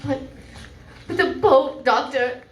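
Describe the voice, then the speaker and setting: A woman's voice: high voice, slow, fairly bright tone. A woman playing a frightened, distrustful heroine in an old-time radio thriller, with theatrical delivery. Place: live performance venue, indoors. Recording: roomy live-stage recording, faint noise.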